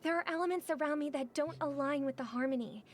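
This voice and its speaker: A woman voicing a graceful young female singer, speaking softly and with calm earnestness. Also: high voice, fast, a little hiss or hum, lightly acted. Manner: soft, composed, earnest. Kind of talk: game voice acting.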